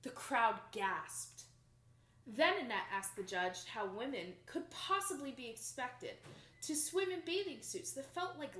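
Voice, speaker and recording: A young adult woman reading a picture book aloud to children in an expressive, emphatic storytelling manner. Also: on the high side, slight background noise. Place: small plain indoor room. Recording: roomy indoor echo.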